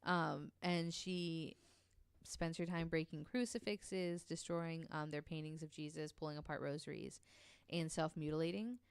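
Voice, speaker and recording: A woman's voice: deep voice, fairly even intonation, a little slow, clear, resonant tone, no echo. A woman co-hosting a podcast, telling a story casually with many ums and the odd swear word.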